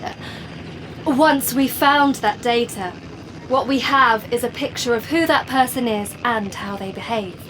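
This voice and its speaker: An actress playing a coolly assured woman official in an audio play, lecturing in a deliberate, instructive way. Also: a little high, background noise, natural voice. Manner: instructive, deliberate, checking listeners follow.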